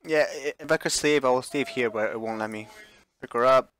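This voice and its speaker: A man's voice: mid-range voice, brisk, fairly bright tone, a little hiss or hum. A man streaming a visual novel, chatting casually as he plays and reading the text aloud, sometimes stumbling and correcting himself.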